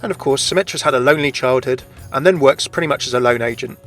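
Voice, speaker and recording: Fast-talking man narrating game lore. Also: medium pitch, a bit bright, faint music behind. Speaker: video essay narrator. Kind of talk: scripted game lore commentary.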